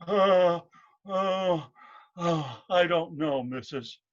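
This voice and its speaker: A man's voice: a little high, dull audio. Man playing a dim, emotional young village lad. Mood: puzzled, flustered. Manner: halting, stammering, naive.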